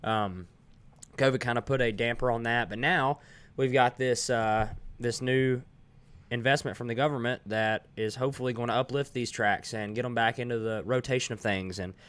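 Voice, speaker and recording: Man, congested, smooth clear voice, easygoing podcast co-host. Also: medium pitch, steady tone, moderate pace, fairly bright tone. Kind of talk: casual chat.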